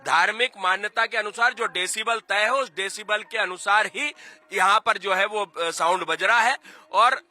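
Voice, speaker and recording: A man's voice: sits high, normal speed, bright, forceful tone. A man arguing emphatically in a street interview, repeating himself and asking rhetorical questions. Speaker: activist spokesman for a cultural-religious organisation. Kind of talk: street news interview, opinionated argument.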